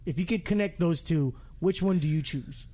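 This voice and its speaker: A man's voice: a little high, normal speed, warm tone. A man interviewing casually, speaking emphatically and repeating his phrases. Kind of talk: casual chat.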